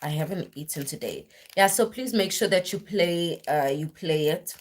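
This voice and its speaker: A young woman with a low, husky voice that sounds a little worn and deeper than usual today. She talks casually to her live-stream viewers and rambles along, with lots of "like" and "um". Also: normal speed. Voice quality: husky, deep voice. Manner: rambling, filler-heavy, self-correcting. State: voice worn and deeper after a weekend of church singing.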